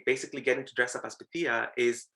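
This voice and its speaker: A man's voice: mid-range voice, level tone, fast, pushed and bright. A young man from Greece, a drag performer, talking casually in an interview, chatty with frequent fillers and laughs.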